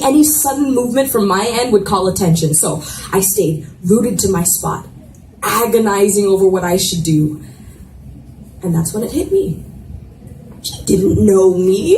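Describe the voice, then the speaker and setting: A woman reading romance fiction aloud as expressive first-person narration, with dramatic pauses, at a live event. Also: medium pitch. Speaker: reader of a romance novel. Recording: echoey room, distant mic, noisy ambience.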